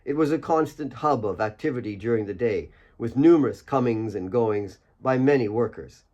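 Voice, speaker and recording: A man's voice: medium pitch, moderate pace, darkish tone, lo-fi sound. A man reading his own fiction as an audiobook, in scripted storytelling narration.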